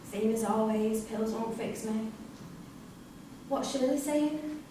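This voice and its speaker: A woman acts out a tired, placating wife and mother in a high voice. The naturalistic stage dialogue is heard far from the mic in a heavily echoing room. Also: slow pace. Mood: weary. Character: weary, placating prisoner's wife and worried mother. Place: indoor performance venue, recorded from the audience's distance. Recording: echoey hall, distant mic, faint hiss.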